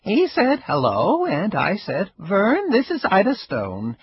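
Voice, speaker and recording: A man putting on a sharp-tongued old grandmother. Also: sits high, wildly swooping pitch, slow pace, breathy edge, no echo, voice pushed up, heavily acted. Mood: wry. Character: frail elderly grandmother, stubborn and sharp-tongued. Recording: dull lo-fi recording.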